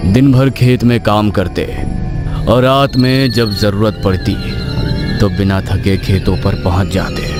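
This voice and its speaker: A man with a low voice narrates a scripted horror tale. His delivery is suspenseful and very animated, with dramatic pauses. Music is clearly present behind him. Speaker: storyteller.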